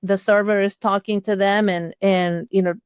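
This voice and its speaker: A woman's voice: medium pitch, level tone, normal speed, smooth, no echo, studio quality. An older woman from Chile, a retired accountant, giving a conversational instructional webinar and walking through sample phrases.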